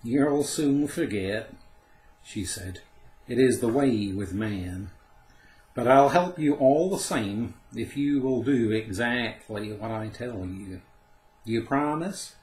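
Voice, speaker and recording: A man reading aloud, voicing a haughty female great horned owl with a shrill, harsh voice. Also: slow, darkish tone, roomy sound, natural voice. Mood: knowing.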